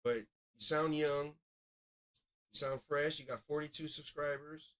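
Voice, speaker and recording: A man hosting a music review, giving casual live critique in a halting, think-aloud way with repeated catchphrases. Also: on the high side, steady tone, a bit bright. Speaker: music review show host.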